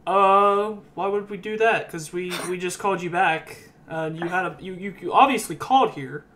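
A man's voice: high-pitched, normal speed, a crowd in the background, pitched below the performer's own voice, lightly acted. A man playing a polite, bumbling asylum worker on a prank call, hesitant, insistent and mock-earnest. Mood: officious.

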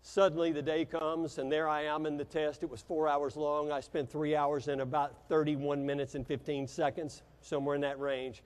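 High-voiced American man speaking in an echoey hall. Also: level tone, normal speed, hardly any pauses. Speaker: motivational business speaker. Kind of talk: keynote speech with personal storytelling. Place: large convention hall stage. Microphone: lapel mic. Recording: echoey hall with long reverb.

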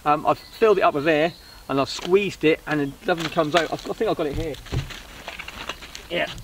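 A man's voice: on the high side, moderate pace. A man in his thirties giving a casual, informal gear review to camera, rambling a little and hesitant. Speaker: vlogger reviewing budget camping gear. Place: outdoors on a wooden riverside deck. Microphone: camera a couple of metres away. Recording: outdoor recording, distant mic, background noise.

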